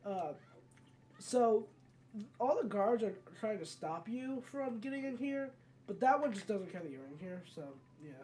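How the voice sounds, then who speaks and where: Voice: mid-range voice, lively intonation, a little slow, dark tone. A video game let's player giving casual, rambling commentary and often correcting themselves mid-sentence. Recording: mic at a distance, background noise.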